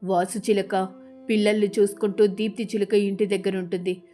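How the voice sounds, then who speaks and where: A woman voicing a lazy, demanding male eagle with an emphatic, entitled manner in an animated storybook. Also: medium pitch, normal speed, soft background music, lightly acted. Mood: demanding, hungry.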